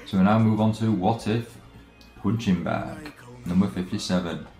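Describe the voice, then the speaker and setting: A man streaming a video game, chatting casually and haltingly with viewers as he plays, often trailing off and restarting. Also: on the low side, fairly even intonation, mellow. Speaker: let's play streamer. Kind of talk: casual live game commentary and chat with viewers. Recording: roomy echo, game music behind. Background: video game music.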